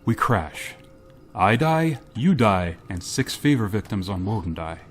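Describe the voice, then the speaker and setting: Man acting grave space pilot, low-pitched, somber and halting, radio drama, music underneath. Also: sing-song, breathy edge, exaggerated voice. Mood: somber, firm. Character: grave, reluctant male spaceship pilot bearing terrible news.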